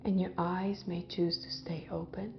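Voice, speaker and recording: A woman leading a guided visualization in a gentle, hypnotic way, with long pauses and soft phrase endings that trail off toward a whisper. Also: on the low side, level tone, creaky, muffled recording. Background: background music.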